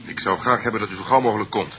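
An actor playing a brusque, practical husband investigating at night in a Dutch radio drama. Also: male voice, lowish voice, racing, sharp tone. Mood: polite, shaken. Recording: noisy old radio drama recording.

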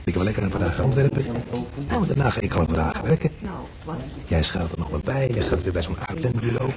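Man acting soothing husband, slangy, lowish pitch, hospital radio drama, murmuring voices behind. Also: animated, fast talker, crowd noise, lightly acted. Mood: reassuring.

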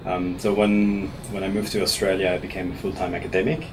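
A man from Switzerland in his thirties, a professor answering interview questions in a small office in a measured way, often restarting his words. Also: low voice, flat delivery. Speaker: actuarial professor being interviewed. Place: small office meeting room, seated at a table. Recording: room recording with audible air conditioning hum.

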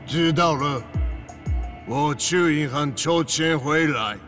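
An actor playing a stern, unhurried middle-aged male warehouse boss in a video game, with a gravelly voice. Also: a little high, a bit bright, faint music behind. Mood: placating, curt. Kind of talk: Mandarin video game voice acting.

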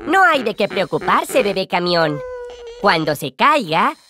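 A woman's voice: medium pitch, sing-song, a little slow. A woman playing a cheerful, helpful gecko mechanic in a children's cartoon, exclaiming and explaining things to young viewers. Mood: cheerful, reassuring. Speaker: cartoon lead and narrator. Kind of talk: animated children's show dialogue dubbed in Spanish.

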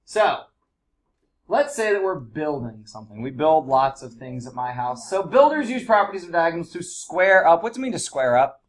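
A man with congested allergies, teaching a high school math class in a casual, chatty way. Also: big pitch swings, normal speed. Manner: casual, chatty explaining with fillers. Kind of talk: classroom lesson.

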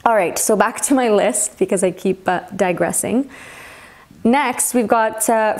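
A woman's voice: fairly high pitch, darkish tone, slight background noise. A young woman talking to camera in her home kitchen on a lapel mic, chatty and upbeat as she shows her groceries. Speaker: healthy eating vlogger. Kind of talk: casual talk to camera. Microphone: clip-on lapel mic.

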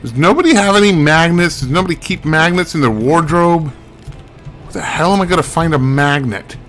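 A man's voice: a little high, animated, normal speed, fairly bright tone, soft background music. A man giving casual, rambling video game commentary in a wry, musing way, thinking aloud. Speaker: game streamer.

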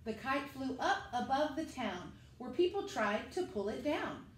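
A middle-aged woman, a school teacher, reading a picture book aloud to children and speaking to them directly in an animated way. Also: high-pitched, a little slow. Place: living room at home, indoors. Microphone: camera a few metres away. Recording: echoey room with faint noise.

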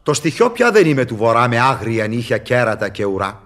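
An actor playing a sly, mocking devil tempter in a theatrical radio verse drama. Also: male voice, medium pitch, big pitch swings, a bit bright. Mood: mocking, haughty.

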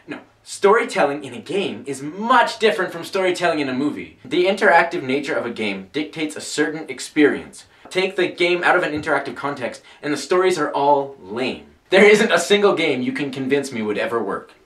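A man's voice: on the high side, very animated, fairly quick, hardly any pauses, fairly bright tone. A young man acting an opinionated, skeptical geek in a scripted comic debate, emphatic and dismissive. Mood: argumentative, dismissive. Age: young adult. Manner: emphatic, argumentative. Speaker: actor in a web comedy sketch. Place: small furnished room indoors. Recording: roomy, mic some distance away.

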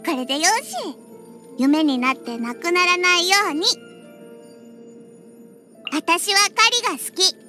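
A woman's voice: very high-pitched, a little slow, long pauses, pushed and bright, exaggerated voice. A woman voicing a feisty little catgirl in an anime game, with a childlike, cutesy voice and a huffy, pouty manner. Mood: affectionate, shy. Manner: pouty, huffy, with cat-like verbal tics. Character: feisty little catgirl bartender who hates alcohol. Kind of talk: Japanese anime game character acting. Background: background music.

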